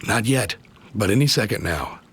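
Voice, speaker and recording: A man's voice: on the low side, lively intonation, moderate pace, fairly bright tone, breathy edge. A man voicing a steely, matter-of-fact soldier and covert agent in a video game cutscene. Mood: urgent. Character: steely male soldier turned covert agent, testifying calmly. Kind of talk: 1990s video game voice acting, testimony narration.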